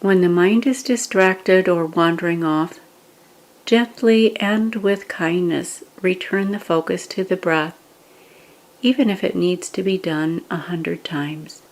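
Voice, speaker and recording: A woman leading a guided meditation, giving scripted instructions in a gentle, measured manner with pauses. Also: lowish voice, low-quality recording.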